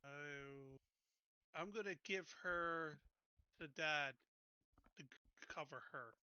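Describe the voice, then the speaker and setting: Very bright, forceful higher-voiced man. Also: slow, smooth, dry recording, highs cut off. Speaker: player in a tabletop roleplaying game. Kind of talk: casual game-table planning.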